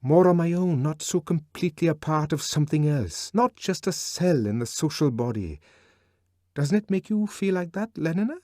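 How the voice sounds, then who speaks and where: A man reading an audiobook and voicing a brooding, discontented young man who talks earnestly and at times shouts. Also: fairly high pitch, animated, moderate pace, dry recording, studio quality, voice pushed up, natural voice. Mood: intense. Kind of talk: audiobook character voice.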